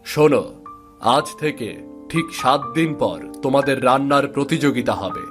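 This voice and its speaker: Music plays clearly behind a man acting as a middle-aged village father from a cartoon fairy tale. He is genial yet firm, setting out his words with deliberate emphasis as head of the household. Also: mid-range voice, natural voice. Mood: firm, instructing. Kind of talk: animated folktale dialogue acting. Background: background music.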